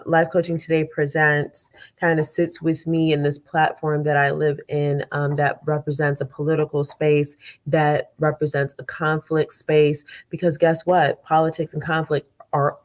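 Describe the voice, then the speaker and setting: A woman's voice: sits low, completely flat delivery, normal speed. A congested woman conflict coach hosting her show, chatting casually in a rambling way with many fillers and repeats. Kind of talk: casual live show chat.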